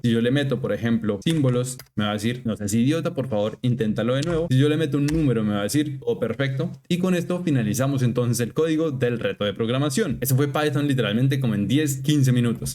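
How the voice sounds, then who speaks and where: A young adult man, a software engineer, casually talking through code step by step in a screen-recorded programming tutorial. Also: mid-range voice. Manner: casual step-by-step explaining, thinking aloud.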